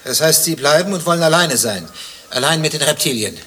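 An actor playing a nosy, suspicious stranger in a satirical radio drama, probing and insinuating with deadpan, precise speech. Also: male voice, on the high side, fairly quick, slightly rough. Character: nosy, suspicious male stranger pestering a waiting man. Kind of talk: dialogue-driven satirical radio drama acting.

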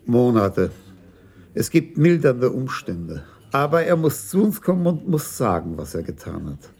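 An actor playing a patient, insistent police commissioner in a radio play, speaking in a measured, persuasive way. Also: male voice, mid-range voice, very animated, creaky, a little mellow, slightly rough, full character voice. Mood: persuasive, firm.

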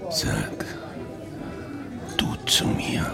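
An actor playing an amorous, self-pitying middle-aged boss in a radio drama, speaking in a slow, halting, sentimental way. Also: male voice, in a whisper. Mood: sentimental, wooing. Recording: music under the voice.

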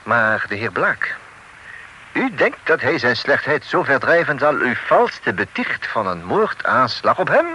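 A man's voice: medium pitch, big pitch swings, unhurried, sharp tone, rough recording. An actor with a French accent playing a worldly, wry male official in a radio play, dry and knowing, chuckling and dropping French words into his speech. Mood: probing. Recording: old radio recording with faint hiss.

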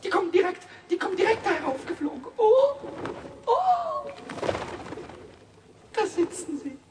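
A man voicing a cheeky, childlike little kobold who chatters excitably to himself in a squeaky voice in a children's radio play. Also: measured pace, distant mic, exaggerated voice. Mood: excited, scheming. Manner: excitable muttering to himself, exclaiming.

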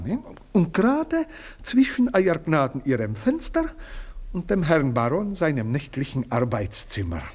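A man's voice: mid-range voice, breathy edge. An actor playing a meddling, servile male house servant who speaks in long-winded, fawning phrases. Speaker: servant Theodor. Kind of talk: radio play acting.

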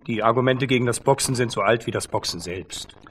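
A man's voice: mid-range voice, brisk, fairly bright tone, slight background noise, natural voice. A man playing a probing, sceptical reporter in a radio drama, with a pointed, questioning manner. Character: probing, sceptical male reporter challenging a boxer.

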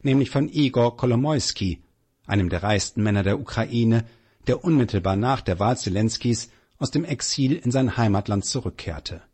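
A man reading scripted documentary narration in a factual, measured way as a narrator. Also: medium pitch, animated, a little mellow, breathy edge.

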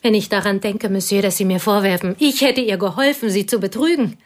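An actress plays a bitter, lonely woman who pours out old betrayals in a radio play. Her voice is hushed and intense, often sinking to a whisper. It sits at a middle pitch. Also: brisk, natural voice. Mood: bitter confession.

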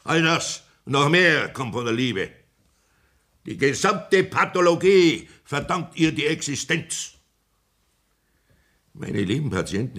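A pompous old country doctor. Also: male voice, medium pitch, fairly bright tone, husky, heavily acted. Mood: declaiming. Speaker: Sanitätsrat. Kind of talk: 1960s radio play acting.

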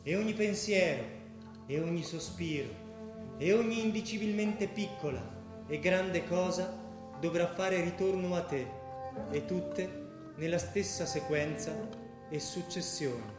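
A man acting as a solemn, measured, fateful narrator, reciting theatrically in a radio drama. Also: high voice. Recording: heavily echoing, loud plucked guitar music.

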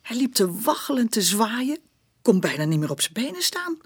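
An actress playing a bitter, stubborn working-class mother giving a confession in a Dutch radio play, speaking colloquially and haltingly. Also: on the high side, very animated, breathy edge. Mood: subdued recounting.

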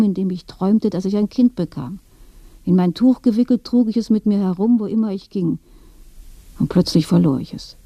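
An actress in a radio drama playing a weary, bitter old dead woman in a shared grave, speaking in a hushed voice. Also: medium pitch, no echo, close to an everyday voice. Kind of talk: German radio drama, intimate monologue.

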